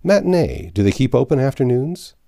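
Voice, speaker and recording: A man reading as a blunt, animated Yankee. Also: medium pitch, a little fast, voice pushed up, close to an everyday voice. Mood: exasperated. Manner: blunt, plain-spoken, boastful. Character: confident, exasperated Yankee man stranded among medieval folk. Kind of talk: audiobook character dialogue.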